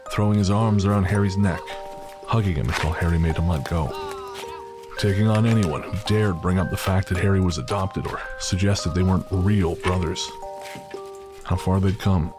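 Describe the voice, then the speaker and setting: A man giving scripted, dramatic narration for an audio story. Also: low-pitched. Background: music, rain and storm sound effects.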